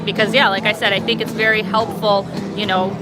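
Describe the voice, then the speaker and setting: A woman's voice: medium pitch, level tone, brisk, low-quality recording. A young woman from Canada, chatting casually with viewers on a livestream and rambling with lots of fillers. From Canada, born in Russia. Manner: rambling, frequent fillers, thinking aloud. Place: outdoors at a city street march. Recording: outdoor livestream over loud music. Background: loud music from the march.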